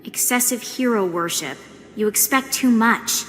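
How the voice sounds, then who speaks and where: Actress as a brash, higher-voiced young woman. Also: a little slow, background noise, natural voice. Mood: sarcastic, impatient. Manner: blunt, sardonic. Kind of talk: audio drama acting.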